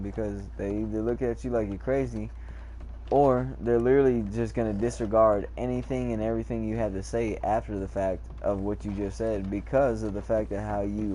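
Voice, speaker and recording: A man chatting casually while playing an online game, rambling in a calm, lecturing way, repeating words and swearing freely. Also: on the low side, a little hiss or hum. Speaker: gamer chatting in party.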